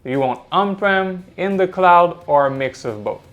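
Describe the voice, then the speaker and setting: A man delivering scripted corporate explainer narration in a measured, instructional way. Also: high-pitched, expressive, a bit bright, dull audio. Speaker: presenter of a product explainer. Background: background music.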